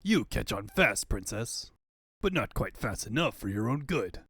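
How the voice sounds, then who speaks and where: A man voicing a pompous, gloating cartoon tyrant with a husky voice and mock politeness in a comic dub. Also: on the low side, sing-song, a little slow, a bit bright, no room sound, studio quality, exaggerated voice. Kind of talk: cartoon-style comic fandub.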